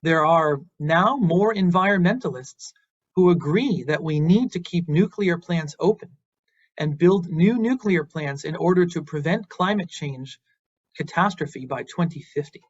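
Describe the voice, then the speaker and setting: Guy giving an online lecture, bit high voice, earnest and encouraging, kinda muffled audio. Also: measured pace. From Boston, United States. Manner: earnest and encouraging, with some fillers. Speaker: university lecturer.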